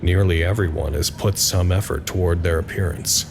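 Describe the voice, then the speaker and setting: An actor playing a reflective, gentle facility worker, narrating an audio drama log in a measured, formal, introspective way. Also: male voice, sits low, steady tone, gravelly, background noise. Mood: pensive.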